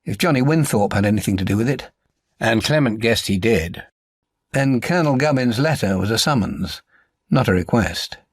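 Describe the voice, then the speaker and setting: A man narrates a crime novel in a measured, articulate style. His voice sits at an average male pitch. The sound is very dry, as in audiobook recording. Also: no room sound.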